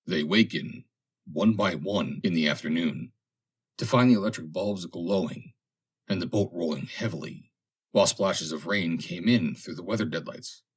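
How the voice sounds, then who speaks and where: A man's voice: low-pitched, moderate pace, slightly rough. A man reading a story aloud as an audiobook narrator, in a dramatic scripted style.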